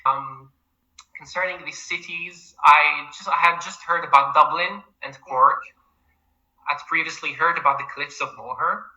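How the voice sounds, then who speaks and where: A man's voice: on the high side, a little slow, bright, forceful tone, muffled recording. A man in his twenties with an Algerian accent, a PhD student answering interview questions politely and eagerly. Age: 20s. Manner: polite, eager, often says "absolutely".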